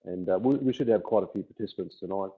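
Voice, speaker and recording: A man's voice: deep voice, quick pace, breathy edge. Australian man over a tinny line. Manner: hesitant, filler-heavy. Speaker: webinar host. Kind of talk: webinar interview.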